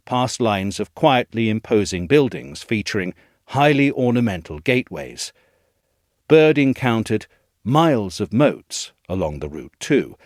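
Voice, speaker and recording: A man reading a scripted essay as narration, in a measured, articulate way. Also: fairly low pitch, dry recording, studio quality. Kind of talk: scripted documentary-style narration.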